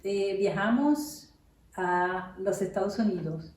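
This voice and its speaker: Woman from the Dominican Republic, middle-aged, very slow speech, distant echoey sound. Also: mid-range voice, a little mellow. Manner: unhurried, many hesitations. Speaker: nurse, interview guest. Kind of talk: casual interview answers. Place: her own home.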